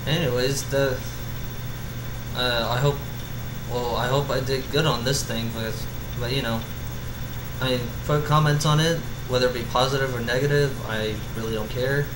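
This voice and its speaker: A man with an average-pitched voice reads and chats casually. He stumbles and repeats words as he goes. The room echoes and there is steady noise behind him. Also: moderate pace. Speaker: fan fiction reader. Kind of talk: amateur story reading and casual chat. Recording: roomy echo with clear background hum.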